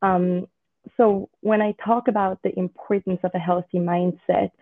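A woman from Switzerland, a dating coach, explaining her ideas in a measured way as a podcast guest on a remote call. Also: mid-range voice, flat delivery, no room sound. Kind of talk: podcast interview. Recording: tinny remote call audio.

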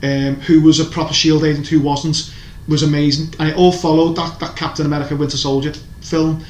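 A man's voice: fairly high pitch, little pitch movement, a little fast, a little mellow. A man chatting casually as a podcast co-host, rambling and opinionated, with lots of restarts. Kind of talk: casual chat reviewing TV shows. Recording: echoey room, faint background noise.

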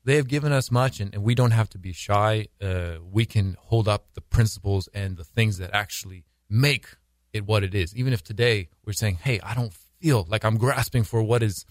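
Low-voiced american guy, missionary guest on a radio show, thoughtful, stops and restarts a lot, dry mic. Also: no room sound. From United States. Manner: thoughtful, halting, many restarts. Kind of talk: casual interview talk.

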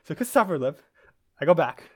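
A man's voice: on the high side, expressive, rapid-fire, darkish tone. Young American man telling a funny story. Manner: laughs often while telling a story. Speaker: podcast guest. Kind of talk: casual storytelling chat.

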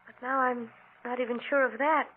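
A woman's voice: a little high, fairly even intonation, a little fast, slightly rough. An actress playing an earnest, frightened woman menaced by a murderous man in a dramatic old-time radio thriller. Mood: uneasy, gentle. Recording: tinny, noisy vintage radio recording.

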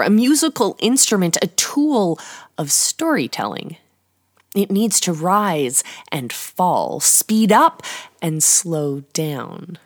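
Female podcast host, lowish pitch, unhurried slow pace, scripted storytelling, dramatic deliberate phrasing. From Canada.

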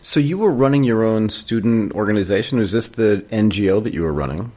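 A man's voice: fairly low pitch, lively intonation, brisk, a little mellow. A man co-hosting a podcast, asking a guest questions in an upbeat, curious manner. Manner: upbeat, inquisitive. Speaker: podcast co-host. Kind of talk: interview questions.

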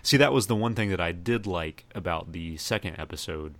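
Very deep-voiced man chatting casually. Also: brisk, a bit bright. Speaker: podcast co-host. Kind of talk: casual chat about TV shows.

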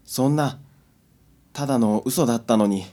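A man's voice: mid-range voice, normal speed, mellow, natural voice. A man playing an anxious husband and detective's client in a radio drama, hesitant and easily flustered. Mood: bewildered.

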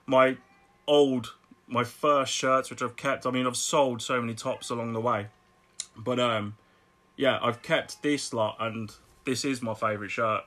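A middle-aged man with a Birmingham accent chats on a podcast. His voice is bright and ringing at a middle pitch, and he laughs easily, often repeating himself as the stories tumble out. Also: unhurried. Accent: Birmingham English. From Birmingham, England. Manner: chatty, laughs often, repeats words when excited. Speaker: guest artist. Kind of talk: casual podcast chat.

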